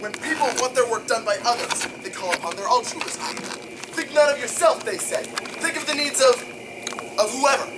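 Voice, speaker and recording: A man playing a defiant, contemptuous émigré tycoon from a video game, delivering a grand monologue in an emphatic, deliberate oratorical manner. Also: extremely high pitch, noisy background. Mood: grave, probing, then scornful, indignant.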